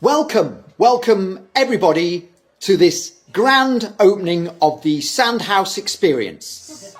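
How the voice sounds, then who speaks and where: A man's voice: sits high, sing-song, slow, full character voice. A man playing a welcoming, showy visitor-centre manager in an audio drama, effusive and presentational like a tour guide.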